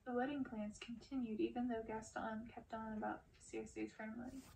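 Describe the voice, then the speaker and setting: A woman narrates a storybook in an ASMR style. Her voice is on the high side with plenty of creak, picked up at some distance with faint background noise. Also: fairly even intonation, moderate pace, darkish tone. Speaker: narrator reading a book aloud.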